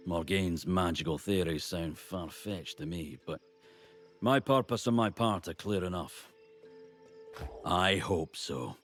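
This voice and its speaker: A dutiful guardian of nature in a fantasy game. His voice is deep, stern and measured, speaking formally over faint music. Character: grave, dutiful nature-devoted fantasy ally. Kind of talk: dramatic video game acting.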